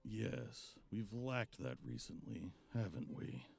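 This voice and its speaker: A man playing a weary, cautious monster hunter in a visual novel, with a gravelly, husky voice. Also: fairly low pitch, big pitch swings, slow, full character voice. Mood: pointed. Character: weary, cautious seasoned male monster hunter, player's lover.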